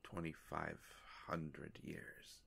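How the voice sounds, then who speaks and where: A man plays an ancient, courtly vampire in an intimate audio roleplay. The voice sits very low with a heavy creak. He speaks very slowly and formally, with melancholy, hushed hesitations. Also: flat delivery, heavily acted. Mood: wistful, solemn. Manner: formal, halting, hushed, measured phrasing.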